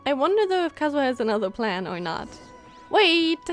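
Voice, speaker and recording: A woman's voice: sits high, very animated, a little fast, faint music behind. A woman doing casual live game commentary, chatty and musing aloud as she reacts to the story. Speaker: game streamer.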